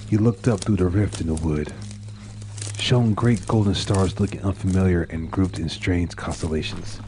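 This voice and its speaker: A man narrating a dark short story in a dramatic, measured and solemn manner. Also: deep voice, noisy background. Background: music.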